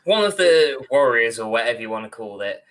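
A young British man with a bright, forward voice talks as a podcast co-host. He is informal and opinionated, rambling on in long, chatty stretches. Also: mid-range voice, big pitch swings, a little fast. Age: young adult. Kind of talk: casual ranting discussion. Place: indoor room at home, on video call.

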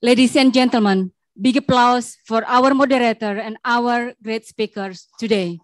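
A woman's voice: a little high, fairly even intonation, moderate pace, dry recording. A middle-aged woman with an Indonesian accent, an event MC reading closing announcements from paper at a standing microphone. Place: event room with dark slatted wall.

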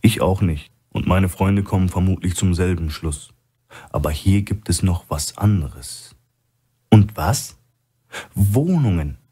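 A man's voice: low-pitched, animated, takes it slowly, dark tone, breathy edge, exaggerated voice. A man voicing a shrewd, steely male hostage with a blunt, dry manner in a thriller audiobook. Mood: guarded.

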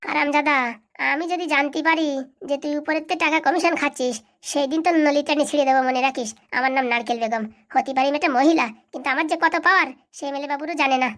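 A woman's voice: sits high, fairly even intonation, fast, fairly bright tone. A woman voicing a bossy, threatening village politician in a comic cartoon, blustering and boasting as she commands.

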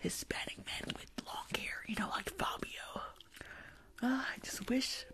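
A young woman doing a whispered ASMR roleplay as a rude school nurse, blunt and grumpy, speaking in a breathy whisper close to the mic. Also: high-pitched, big pitch swings, measured pace, sharp tone. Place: small indoor room.

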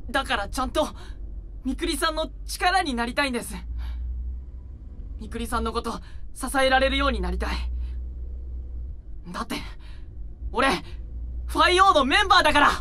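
A man's voice: extremely high pitch, animated, moderate pace, long pauses, bright, forceful tone, exaggerated voice. An actor playing an eager, easily flustered young male idol in a voice drama, with a polite, earnest, stammering manner. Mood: earnest, determined. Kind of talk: Japanese anime-style voice drama acting. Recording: binaural recording with vehicle noise behind.

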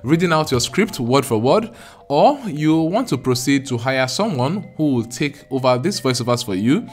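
A man's voice: mid-range voice, animated, normal speed. A young man with a Nigerian accent, explaining step by step in an earnest, emphatic vlog to camera. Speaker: YouTube creator. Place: small home studio room. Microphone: large studio mic close in front.

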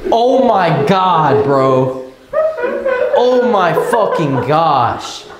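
A man's voice: fairly high pitch, sing-song, drawn-out words, heavy background noise. A young man with a brash, slangy way of talking, swearing casually as he films pranks. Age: young adult. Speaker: prank video creator. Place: inside large stores, on camera. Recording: echoey big store interiors.

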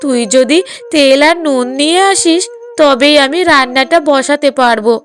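A woman's voice: sits high, normal speed, lightly acted. A woman playing a middle-aged village mother in a cartoon drama, coaxing in a fretful, affectionate way. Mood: coaxing, worried.

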